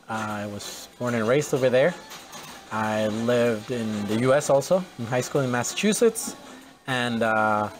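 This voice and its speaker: A man's voice: medium pitch, unhurried, poor audio. Mexican restaurant owner in his forties, casual and rambling, speaking outdoors. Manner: rambling with many restarts and fillers. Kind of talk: casual interview. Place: outdoors at a farm and on a restaurant patio.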